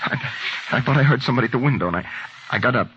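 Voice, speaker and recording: An actor playing an anxious, paranoid husband in old-time radio drama, with a brooding, halting delivery that can turn frantic. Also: male voice, medium pitch, animated, a little hiss or hum. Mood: shaken, afraid. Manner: hushed brooding narration breaking into frantic, halting outbursts. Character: anxious, paranoid blind husband, demanding and fearful. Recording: muffled old radio broadcast, music behind.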